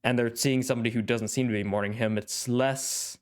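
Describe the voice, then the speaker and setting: A young man hosting an anime reaction channel in casual solo commentary, thoughtful and rambling with many fillers, his nose congested and throat needing clearing. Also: medium pitch, steady tone, a little fast.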